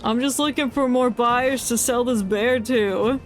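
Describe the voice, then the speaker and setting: A woman's voice: a little high, a little slow, soft background music. A woman streaming a video game with casual, reactive commentary and playful, mock-earnest asides.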